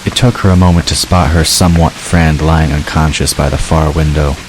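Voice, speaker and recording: A man's voice: rumbling low, fairly even intonation, brisk. A man narrating a dramatic fantasy story from a script. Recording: clear hiss behind the narration.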